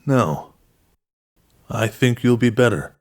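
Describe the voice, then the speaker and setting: A man playing a gruff but gentle fatherly figure in an audio drama. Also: medium pitch, animated, slow pace, a little hiss or hum. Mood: gentle, reassuring. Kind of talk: acted audio drama dialogue. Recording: music behind the voice.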